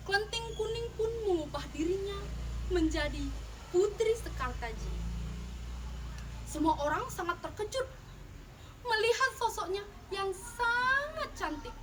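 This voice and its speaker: A woman's voice: very high voice, fairly bright tone. A young woman telling a folktale in a theatrical, emphatic storytelling style, as if in a contest. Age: young adult. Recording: distant mic, clearly noisy background.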